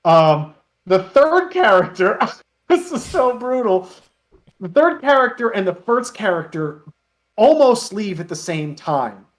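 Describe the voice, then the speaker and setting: A man with a slightly high voice talks as a guest in a casual interview. He tells stories about his own work in an animated, self-deprecating manner. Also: a little slow. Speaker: interview guest, web parody creator. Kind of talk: casual interview chat, anecdotes.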